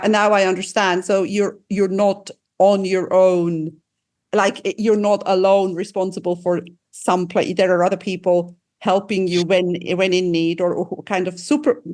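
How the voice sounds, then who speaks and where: A woman with a fairly low voice hosts an interview. She speaks conversationally and inquisitively, often restarting her phrases as she shapes a question. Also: darkish tone. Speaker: interview host.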